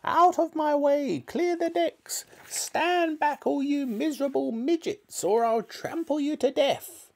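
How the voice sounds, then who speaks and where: Shrill, bossy giant old hag. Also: male voice, takes it slowly, hardly any pauses, exaggerated voice. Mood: shrieking, gleeful menace. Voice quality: shrill, shrieking. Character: huge ancient old hag, bossy and shrieking, riding a giant pony.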